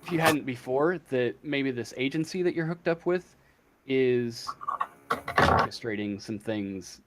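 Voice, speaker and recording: A man improvising narration as game master of a tabletop role-playing game, halting as he thinks aloud. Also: mid-range voice, expressive, very noisy.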